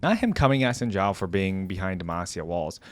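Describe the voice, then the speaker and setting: A man's voice: low-pitched, sing-song, brisk. A man hosting a casual reaction video, commenting informally on what he hears.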